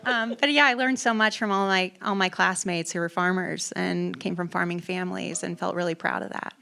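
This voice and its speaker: Texas-raised woman, entertainment exec; lowish smooth voice, relaxed panel chat. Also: a little fast. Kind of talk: panel discussion. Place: festival panel stage.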